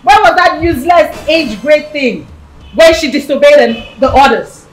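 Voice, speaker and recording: Shrill, haughty Nigerian princess. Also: female voice, expressive, measured pace, poor audio. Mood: haughty, scornful. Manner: imperious, scolding, contemptuous. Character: haughty, spiteful young princess, screaming insults. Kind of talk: screen drama acting.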